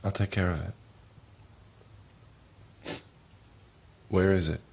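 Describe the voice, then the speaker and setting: A tense, falsely calm husband. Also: male voice, low voice, takes it slowly, creaky, heavily acted. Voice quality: steady, reassuring, forced calm. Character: tense husband driving family across border.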